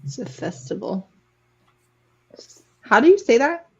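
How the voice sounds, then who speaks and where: A woman's voice: on the high side, very animated, unhurried, gravelly, slight background noise. Woman chatting casually, slightly dull audio. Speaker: guest on a friends' livestream. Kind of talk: casual group chat.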